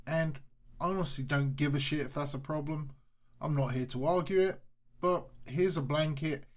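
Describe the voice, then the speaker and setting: Male hobby channel host, average pitch, frank and informal, delivering casual showcase narration. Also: darkish tone.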